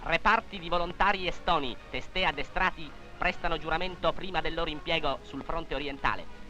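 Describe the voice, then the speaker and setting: A man's voice: fairly high pitch, fairly quick, loud music behind the voice, rough recording. A man reads scripted 1940s wartime newsreel narration in a formal, declamatory manner, on an old film soundtrack.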